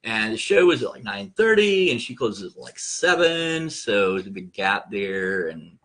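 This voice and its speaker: A man's voice: on the low side, moderate pace. A man around forty, a local news reporter, chatting on a live show with dry wisecracks. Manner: dry, wisecracking, relaxed storyteller. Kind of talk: casual live show chat.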